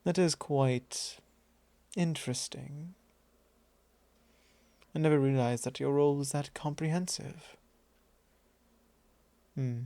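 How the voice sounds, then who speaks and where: A man acts out a vampire lord in an intimate ASMR roleplay, teasing and quietly possessive as he probes his thrall. His voice is soft and dark with a gravelly fry, and he drawls his formal words very slowly. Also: a little high, dry recording. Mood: curious, musing.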